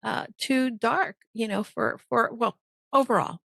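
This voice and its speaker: A woman's voice: a little high, unhurried, gravelly, fairly bright tone, no echo, studio quality. A woman from El Salvador who later moved to Miami, telling personal stories conversationally as a podcast guest and often checking for agreement. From El Salvador until age ten, then Miami. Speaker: podcast guest, business coach.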